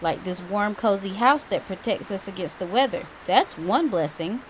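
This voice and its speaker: A woman voicing a patient, gently firm mother bear in a storybook, speaking in a measured, instructive way. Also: medium pitch, expressive, a little hiss or hum, lightly acted, rough recording. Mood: reassuring.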